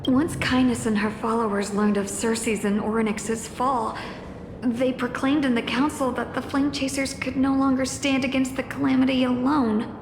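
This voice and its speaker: A woman's voice: sits high, level tone, moderate pace, noisy background. A woman playing a courteous young student in a fantasy game, speaking earnestly and formally. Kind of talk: fantasy video game acting. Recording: echoey hall with music behind.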